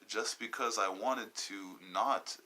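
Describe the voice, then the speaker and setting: A man in his twenties from Southern California, hosting a personal podcast monologue, halting and wandering as he searches for words. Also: lowish voice, bright, forceful tone. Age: 20s. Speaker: podcast host.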